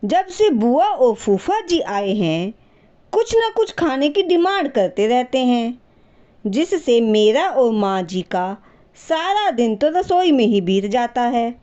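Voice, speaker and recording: A woman's voice: fairly high pitch, big pitch swings, slow pace, dark tone, highs cut off. A woman voicing a fed-up, crafty young wife in a household story, complaining and scheming.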